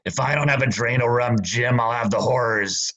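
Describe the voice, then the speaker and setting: A man voicing an old drunken pirate captain who talks in gruff sailor dialect and pleads fearfully. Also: mid-range voice, normal speed, a bit bright, lo-fi sound, natural voice. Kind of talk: student radio play acting.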